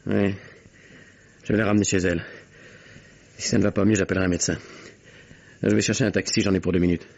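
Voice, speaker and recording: A discreet, soothing companion in a vintage radio mystery. His voice is low and gravelly, with quiet, terse delivery. The recording sounds muffled. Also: steady tone, fast. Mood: quietly soothing. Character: soothing, discreet man tending an ailing woman. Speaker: actor. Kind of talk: 1950s French radio drama acting.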